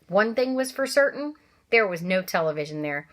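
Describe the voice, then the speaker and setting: Brief: teacher reading a chapter aloud to kids, expressive, chatty asides, middle-pitched voice. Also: female voice. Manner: expressive, pausing for asides to listeners. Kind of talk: reading a novel aloud to children.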